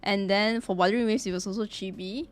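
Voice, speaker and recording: A woman's voice: medium pitch, fairly even intonation, brisk. A woman live-streamer chatting casually with her viewers, greeting them in a chatty way. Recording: music playing behind the voice. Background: background music.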